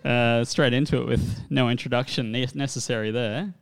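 A man co-hosting a podcast, relaxed and joking as he leads the interview. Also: mid-range voice, normal speed, vocal fry, clean tone. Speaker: podcast co-host. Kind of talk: casual interview.

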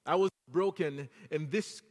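A man from Haiti who speaks English with a French accent preaches in an earnest, building way. His voice sits fairly high for a man. The church sanctuary leaves a long, lingering reverb behind his words. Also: measured pace. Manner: earnest, rising to fervent exhortation. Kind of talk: sermon.

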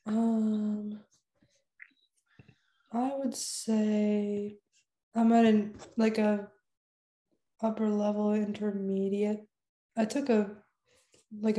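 A woman's voice: medium pitch, completely flat delivery, takes it slowly, long pauses, warm tone, reverberant. A young woman whose first language is Spanish, chatting casually and laughing often, with lots of "like" fillers. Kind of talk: casual chat.

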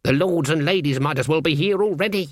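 A man's voice: a little high, brisk, no echo, voice pushed up, lightly acted. A stern old woman witch from a storybook, acted out by a male narrator. She is proud and stubborn, talking in blunt, morose rustic phrases, recorded close and dry.

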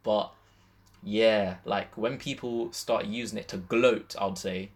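A man's voice: fairly low pitch, steady tone, measured pace, breathy edge. A British man with a London accent co-hosting a podcast in a casual, slangy, conversational way. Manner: slangy, conversational, peppered with "yeah" and "like". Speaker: podcast co-host.

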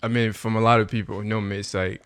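A man's voice: fairly low pitch, fast talker. A man in his twenties, guest on a podcast, chatting casually with frequent laughs and "um"s. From Uganda. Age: 20s. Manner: relaxed, laughs often, many ums and likes. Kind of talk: casual chat.

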